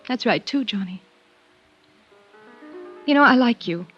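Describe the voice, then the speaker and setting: An actress playing a wistful widow in a 1950s radio drama, speaking in a hesitant, intimate, melodramatic way. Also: female voice, on the high side, animated, a little slow, fairly bright tone, breathy edge, full character voice. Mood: flirtatious, sociable. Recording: dull old radio recording, music behind.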